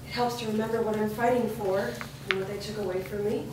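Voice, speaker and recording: A woman's voice: medium pitch, steady tone, fast, lightly acted. Woman playing a determined daughter, very echoey. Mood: demanding, resolute. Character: determined daughter demanding truth about her parents. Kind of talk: staged reading of a drama script. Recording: noisy, very echoey hall from far off.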